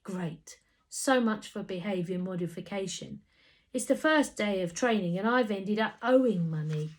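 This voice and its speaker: A woman's voice: medium pitch, animated, moderate pace, lightly acted. A woman voicing a wry, scheming schoolboy in a storybook, earnest and a little grumbling. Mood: sarcastic, fed up.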